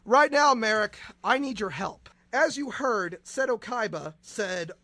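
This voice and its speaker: High-pitched, creaky bombastic male radio host. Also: animated, a little slow, sharp tone, dry recording. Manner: bombastic, stammering with repeated words. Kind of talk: comedic radio interview in mock-dramatic bits.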